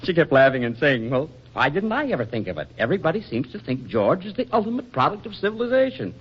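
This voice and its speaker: An actor playing an affectionate, teasing, excitable husband in a 1940s radio comedy, with a folksy manner. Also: male voice, fairly high pitch, quick pace, slightly rough. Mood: animated storytelling. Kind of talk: 1940s radio drama acting. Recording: dull vintage radio drama recording.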